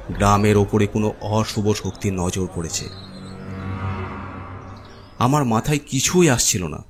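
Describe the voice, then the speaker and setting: A man reading a scripted horror story as its narrator. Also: lowish voice.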